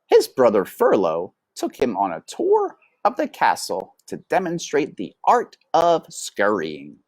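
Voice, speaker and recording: A woman reading a children's book aloud as storyteller, with dramatic, deliberate phrasing. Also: rumbling low, huge pitch swings, darkish tone, dry recording.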